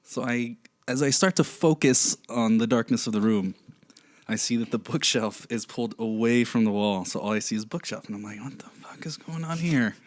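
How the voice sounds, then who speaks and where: A man's voice: mid-range voice, moderate pace, no echo. Joking young man, dry close sound. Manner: joking, drops to hushed voice for suspense. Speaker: podcast guest. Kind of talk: casual storytelling chat.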